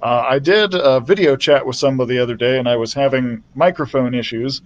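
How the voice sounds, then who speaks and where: A man's voice: mid-range voice, a little fast, highs cut off. A man reading a children's book aloud as a librarian storyteller, friendly and chatty, into a built-in laptop mic.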